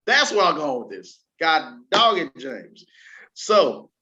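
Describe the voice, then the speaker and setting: A man's voice: fairly high pitch, sing-song, slow, sharp tone. A man hosting a casual entertainment chat show, talking in an informal, slangy, exclamatory way and at times reading items aloud. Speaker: talk show host. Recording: dull, lo-fi sound.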